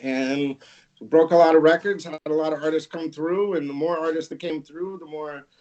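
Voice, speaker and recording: Middle-aged guy from california, kinda high voice, easygoing rambling radio stories. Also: fairly even intonation, normal speed, darkish tone, highs cut off. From Santa Barbara, California. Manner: laid-back, laughing, rambling anecdotes. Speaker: radio DJ guest. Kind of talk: casual interview storytelling.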